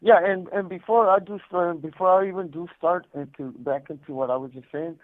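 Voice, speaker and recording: A man's voice: sits high, steady tone, a little fast, dry recording. A man calling from prison over a phone line, talking casually and repetitively about himself, with a lot of conversational filler. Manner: conversational, repetitive, peppered with "man" and "you know". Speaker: caller, prison inmate telling his story. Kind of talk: casual, unscripted personal storytelling. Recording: tinny, band-limited prison phone line.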